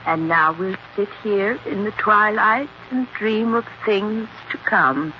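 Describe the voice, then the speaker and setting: An actress playing a genteel wife with honeyed sweetness and cool menace, speaking in a measured, ladylike 1940s radio manner. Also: mid-range voice, natural voice. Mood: dreamy, ominous. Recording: noisy, dull 1940s radio recording.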